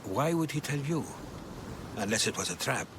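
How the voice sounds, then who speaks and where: An actor playing an eager, earnest older historian in a video game. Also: male voice, mid-range voice, lively intonation, normal speed, background noise, close to an everyday voice. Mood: curious, concerned. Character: inquisitive, earnest older male Greek historian and companion.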